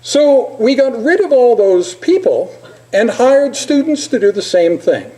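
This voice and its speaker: A man giving an informal, anecdotal presentation as a physician, speaking in a halting, digressive storytelling way. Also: extremely high pitch, sing-song, dark tone, rough recording.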